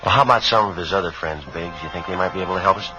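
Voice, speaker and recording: An actor playing a level-headed homicide sergeant in a 1950s radio crime drama, speaking in a clipped, deadpan, matter-of-fact way. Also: male voice, deep voice, rapid-fire, lightly acted. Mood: probing, polite. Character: level-headed male police homicide sergeant, narrating his case. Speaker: detective narrator. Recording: dull vintage radio recording, faint music.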